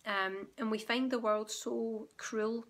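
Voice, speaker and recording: A woman with a croaky, sore-throated voice, gently explaining spiritual ideas straight to her viewers while talking at home. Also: a little high, steady tone, slow pace. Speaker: spiritual awakening guide and channel host. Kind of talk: direct-to-camera teaching monologue.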